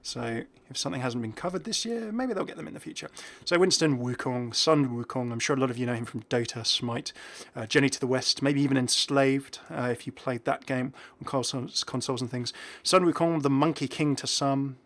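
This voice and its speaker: A man's voice: medium pitch, fairly quick, few pauses, a bit bright. A man hosting a gaming video, giving casual commentary and musing aloud in a chatty way. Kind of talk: casual game update commentary.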